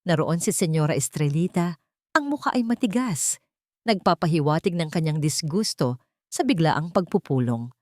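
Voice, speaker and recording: A woman's voice: sits low, lively intonation, normal speed, a little mellow, no room sound, studio quality. A woman reading a dramatic scripted story as an audio drama narrator.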